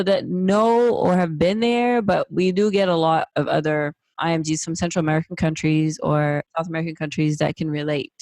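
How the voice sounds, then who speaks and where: A woman's voice: deep voice, darkish tone, no echo. A woman hosting a podcast, upbeat and encouraging as she introduces and questions her guest. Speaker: podcast host.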